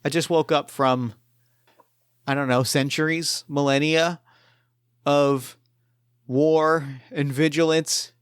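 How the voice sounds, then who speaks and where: A man voicing a weary ancient woman warrior in a halting, solemn way during a tabletop roleplaying game. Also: medium pitch, clean tone, dry recording, clean studio sound, close to an everyday voice.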